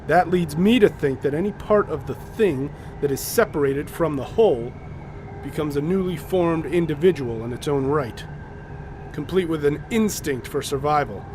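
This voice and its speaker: An actor playing a commanding, methodical leader of a polar expedition in an audio drama, explaining things deliberately and with authority. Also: male voice, on the high side, background noise, lightly acted. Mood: resolute.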